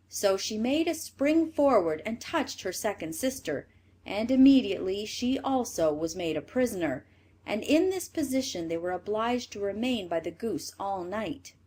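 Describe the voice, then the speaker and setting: Lively woman storyteller with animated pitch. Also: husky. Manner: expressive read-aloud delivery. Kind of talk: scripted fairy tale narration for children.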